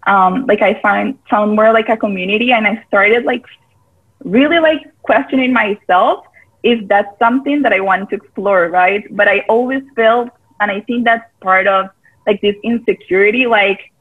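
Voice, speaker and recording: A woman talks over a video call, her voice thin and narrowed like a phone line. Her pitch sits in a middle range. She rambles in a friendly way, scattering "like" through her sentences. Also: normal speed, husky. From Costa Rica. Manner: chatty, many "like" fillers, loose grammar. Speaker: guest, part-time yoga teacher. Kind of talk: casual interview chat.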